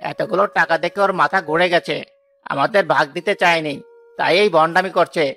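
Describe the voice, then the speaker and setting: A gravelly yet bright male village gossip, played by a man, full of envy and suspicion. He talks with scorn and accusation, as in an animated folk tale. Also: fairly high pitch, brisk, faint music behind. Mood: scornful, accusing.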